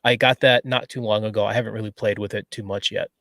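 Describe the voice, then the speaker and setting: A man's voice: lowish voice, fairly even intonation, fast talker, crowd noise. A man who investigates the paranormal, chatting casually and explaining things in stop-start phrases. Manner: explains in stop-start bits, repeats words. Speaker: paranormal investigator, podcast regular. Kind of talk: casual chat.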